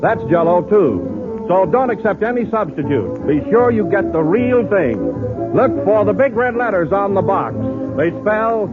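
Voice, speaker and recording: A man's voice: high voice, very animated, measured pace, loud music behind the voice. An American man announcing in an emphatic, polished 1930s radio advertising style. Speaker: radio announcer and comic sidekick. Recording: narrow-band old radio broadcast.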